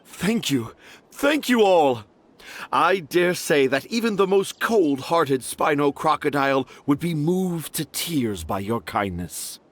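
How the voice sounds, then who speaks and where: An actor playing a self-important children's storyteller in a video game, speaking in flowery, theatrical phrases. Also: male voice, on the high side, expressive, takes it slowly. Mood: warm, theatrical. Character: dramatic, self-important male children's storyteller with lofty dreams.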